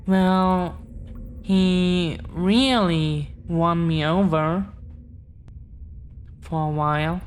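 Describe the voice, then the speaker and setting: A woman's voice: lowish voice, clean tone. A woman playing an affectionate, earnest dragon-blooded girlfriend in an intimate audio roleplay, confessing to the listener in a hesitant, tender way. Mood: wry, reminiscing.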